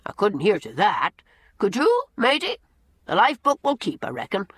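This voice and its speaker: A woman's voice: medium pitch, wildly swooping pitch, moderate pace, a bit bright, breathy edge, no echo, heavily acted. A woman playing a folksy old sailor. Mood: hospitable. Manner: homely, folksy speech. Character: old male sailor, proud and hospitable.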